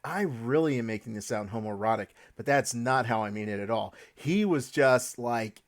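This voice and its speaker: A man's voice: mid-range voice, animated, moderate pace. Man hosting a casual podcast. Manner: leads the talk, hedges and restarts. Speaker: podcast host.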